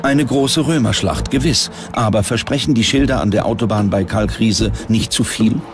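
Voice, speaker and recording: A man's voice: on the low side, moderate pace. A man reading scripted narration for a history documentary. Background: clear background music.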